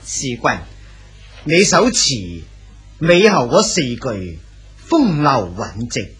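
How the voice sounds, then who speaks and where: An actor playing a deferential scholar poet in a Cantonese radio drama. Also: male voice, mid-range voice, wildly swooping pitch, slow pace, a bit bright, breathy edge, soft background music, heavily acted. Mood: polite. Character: modest male Song dynasty poet, polite.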